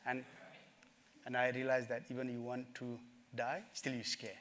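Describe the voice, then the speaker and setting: A middle-aged man from Nepal, telling his life story in a summit talk, earnest and halting, with many fillers. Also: mid-range voice, monotone, a bit bright, slight background noise. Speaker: speaker at a summit. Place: conference stage.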